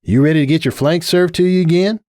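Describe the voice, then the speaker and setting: A man's voice: fairly high pitch, quick pace, darkish tone, no room sound, clean studio sound, voice pushed up, close to an everyday voice. A man voicing a cocky, teasing female pony athlete with folksy, taunting talk in a story reading. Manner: taunting, folksy informal speech.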